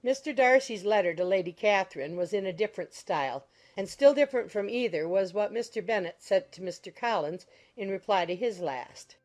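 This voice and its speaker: A woman narrator reads a period novel as a scripted audiobook. Her voice sits at a middle pitch, with music playing underneath. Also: lively intonation, brisk, hardly any pauses, darkish tone.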